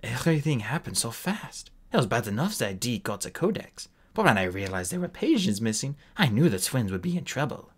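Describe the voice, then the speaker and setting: A man reading aloud and voicing an ancient, gentle male alchemist who speaks softly and keeps his secrets. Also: mid-range voice, very animated, a little fast, hardly any pauses, slightly rough. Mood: explaining. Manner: gentle, soft-spoken.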